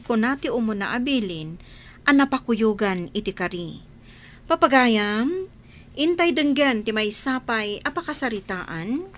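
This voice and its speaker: A woman's voice: on the high side, fairly bright tone. A woman with an Ilocano accent presenting religious radio, reading scripture from a script. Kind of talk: scripted Bible reading and introduction.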